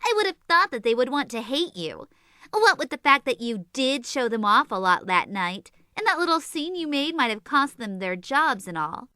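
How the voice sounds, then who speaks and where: Sharp, very high, close and dry: small teenage sorceress with a short fuse, sarcastic anime comedy teasing played by a woman. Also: very animated, moderate pace, clean tone, no room sound, full character voice. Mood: dry, skeptical. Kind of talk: comedic anime fan audio drama acting.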